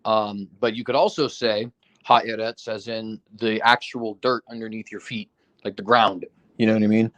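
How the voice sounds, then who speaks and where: A man's voice: fairly low pitch, little pitch movement, moderate pace, a bit bright. A man in casual discussion, getting over an illness, who explains with examples, hedges, and keeps asking "right?". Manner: explains with examples, hedging, frequent "right?" and fillers. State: recovering from illness, foggy.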